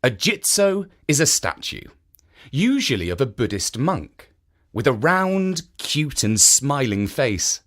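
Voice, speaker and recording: A man narrates a children's storybook. He takes his time, with measured phrasing. His pitch rises and falls very expressively, in a highly animated way.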